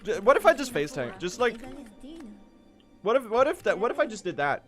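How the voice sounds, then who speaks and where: A young man from Sweden talks to his stream chat while he plays, high-pitched and bouncing all over his range. He jokes about himself and breaks into sudden yells at the game. Loud game music runs under his voice. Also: sing-song, brisk. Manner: jokey, self-mocking, bursts into yelling at the game. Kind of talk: live gaming stream chat.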